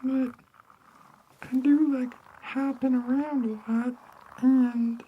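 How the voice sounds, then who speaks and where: A man voicing a small, innocent Muppet-like frog in a falsetto, speaking simply and haltingly like a child. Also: flat delivery, dull audio, slight background noise. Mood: innocent, plaintive. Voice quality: high falsetto puppet voice.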